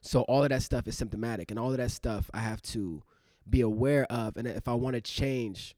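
A man's voice: medium pitch, brisk, darkish tone, no room sound, studio quality. A man in his thirties, an actor co-hosting a podcast, talking in a loose, rambling way with many fillers. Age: 30s. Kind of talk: casual reflective chat.

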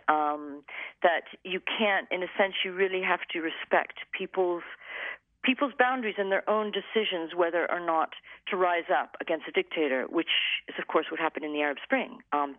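A woman's voice: on the low side, dry recording. A journalist woman recalling her time reporting abroad, telling it as a reflective story in an interview. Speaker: journalist guest. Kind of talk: interview over the phone. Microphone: telephone. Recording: tinny phone line.

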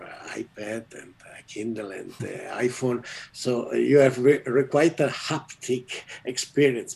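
A man whose first language is Italian, directing an archive and explaining its work in an interview, halting and restarting often. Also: mid-range voice, gravelly, a little mellow, slight background noise. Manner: halting, restarts often, laughs at own jokes. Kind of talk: interview conversation.

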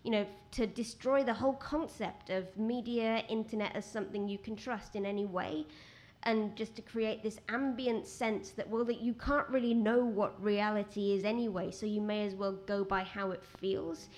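A woman with a quiet voice, a guest writer talking at a live event, rambling with many restarts. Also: medium pitch, fairly even intonation, normal speed, few pauses. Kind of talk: conversational talk at a live event. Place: outdoor event with rain.

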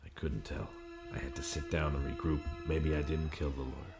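Low-voiced, suspicious noir amnesiac played by a man. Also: level tone, a little fast, over loud music. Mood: brooding, rattled. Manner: terse, agitated, muttering to himself. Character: confused amnesiac man, suspicious hard-boiled noir narrator. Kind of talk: improvised film noir audio drama, narration and dialogue.

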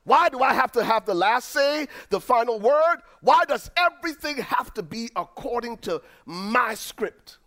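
Lively Liberian-accented man preaching. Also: measured pace, hardly any pauses. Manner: preaching with dramatic swings, rising to shouts then dropping low. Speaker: pastor. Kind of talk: sermon.

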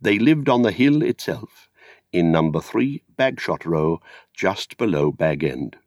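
An older British man narrating a literary story in a measured, theatrical way. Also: low voice, sing-song, a little mellow, no echo, studio quality. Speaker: audiobook narrator.

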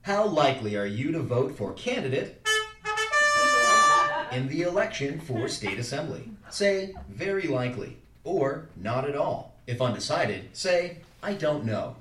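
An actor playing an automated political phone-poll caller who gets increasingly pushy, with formulaic prompts swinging into exaggerated salesmanship, performed live on stage. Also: male voice, mid-range voice, very animated, a little slow, soft background music. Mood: formulaic, polite. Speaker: actor in a live interactive radio play. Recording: roomy, distant, noisy live theater recording.

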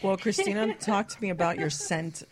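A woman's voice: medium pitch, moderate pace, crowd noise. A woman hosting a bawdy radio chat, casually interviewing with teasing, prodding questions. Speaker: radio show host.

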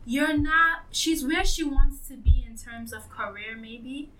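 A woman's voice: high-pitched, steady tone, measured pace, a crowd in the background, low-quality recording. A woman in a casual chat, thoughtful and a little hesitant.

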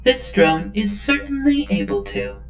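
A woman's voice: high-pitched, sing-song, a little slow, reverberant, faint music behind. A woman voicing an obedient, polite female android servant in an audio drama, formal and artificial, with a synthetic speaker effect. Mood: serene, obedient.

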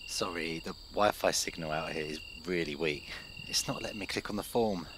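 Male actor, flustered doctor turned cult underling, low and matter-of-fact, faint background night noise. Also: normal speed, lightly acted. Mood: flustered, apologetic. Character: hapless, apologetic doctor serving as cult clerk. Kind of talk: comedy audio drama acting.

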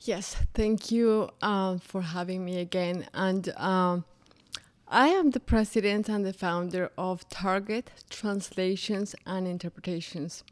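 A woman from Colombia whose first language is Spanish speaks slowly and with care as an interview guest. Her voice sits at an ordinary mid pitch, and she pauses on soft fillers as she thinks. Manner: unhurried, thoughtful, with soft fillers. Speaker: podcast guest, business founder.

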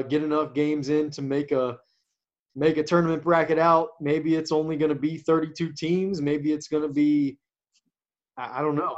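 A man who coaches, talking casually about sports as a podcast co-host, with a naturally quiet voice and a halting way of talking, full of restarts and fillers. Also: fairly high pitch. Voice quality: naturally quiet voice that does not carry. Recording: dull lo-fi sound.

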